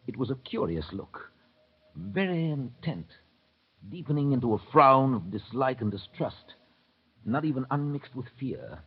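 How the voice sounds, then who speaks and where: Male narrator, husky and grave, middle-pitched, measured, tinny vintage radio drama. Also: big pitch swings, heavily acted. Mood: grave, suspenseful.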